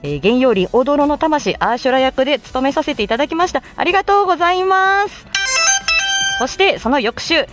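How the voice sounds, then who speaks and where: A woman's voice: high voice, animated, brisk, soft background music. A woman hosting a chatty morning livestream, speaking politely in a bubbly, thankful manner. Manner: polite, bubbly, effusively thankful, laughs often. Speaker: host of a live morning audio stream, voice actor. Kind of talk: chatty livestream talk to listeners.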